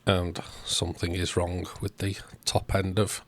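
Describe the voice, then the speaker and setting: Low-pitched man narrating a repair. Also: flat delivery, measured pace. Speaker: host of electronics repair videos.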